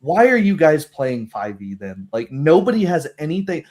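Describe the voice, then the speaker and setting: A man talking casually and opinionatedly as a streamer hosting a tabletop game group, with animated, ranting delivery. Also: a little high, normal speed.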